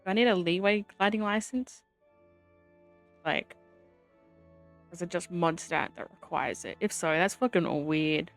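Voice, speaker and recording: A woman's voice: fairly low pitch, measured pace, long pauses, faint music behind. Playful woman streaming a video game. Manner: playful, reactive, casual swearing. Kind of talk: casual gameplay commentary.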